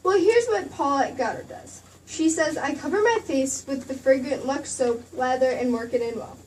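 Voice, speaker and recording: An actress playing a gushing, chic Hollywood reporter doing 1940s radio advertising banter. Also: female voice, high-pitched, moderate pace, a little mellow, natural voice. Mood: persuasive. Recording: distant mic, faint room noise.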